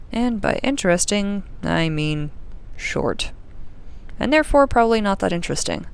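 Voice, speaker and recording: Woman playing a creaky, sardonic young spacefarer. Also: low voice. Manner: dry, sarcastic, sweary, grumbling. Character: sardonic, frustrated young female spaceship crew member logging updates. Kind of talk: confessional audio-log drama monologue.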